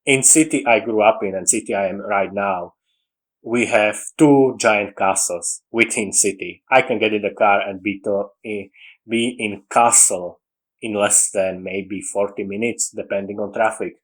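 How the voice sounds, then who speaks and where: A man with a Slovak accent, English being his second language, talks in an unscripted, meandering way. His voice sits on the lower side. He often restarts sentences and leaves out small words. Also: measured pace, poor audio. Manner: rambling, with frequent restarts and dropped articles. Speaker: writing podcaster. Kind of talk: casual monologue on story writing.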